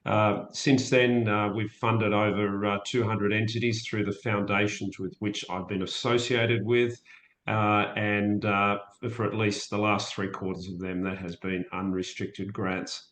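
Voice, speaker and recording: A man co-chairing a webinar, speaking haltingly with many fillers. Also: little pitch movement, fairly bright tone. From Australia. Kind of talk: webinar introduction. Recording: echoey room with faint rumble.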